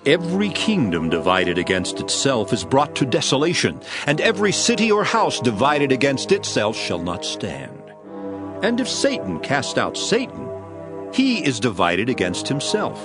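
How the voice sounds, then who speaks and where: A man playing a grave, authoritative teacher who preaches in measured, solemn oratory for a dramatized scripture reading. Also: medium pitch, huge pitch swings, over music. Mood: solemn warning.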